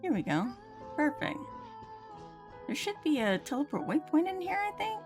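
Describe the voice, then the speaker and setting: A woman's voice: sits high, big pitch swings, normal speed. A woman game streamer chatting casually and reading aloud as she plays, muttering to herself now and then. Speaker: livestreamer. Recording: game music behind voice. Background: video game music.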